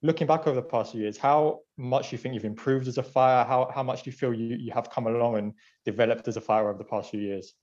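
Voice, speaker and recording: A man's voice: medium pitch, quick pace, darkish tone. A man working as a sports journalist, asking conversational questions over slightly dull remote call audio. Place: remote media conference call.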